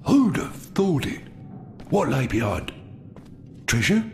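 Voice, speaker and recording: An actor playing a nosy, indignant servant in a video game, full of exclamation. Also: male voice, on the high side, sing-song, slow pace, vocal fry, a little hiss or hum, full character voice. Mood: incredulous. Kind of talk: video game character acting.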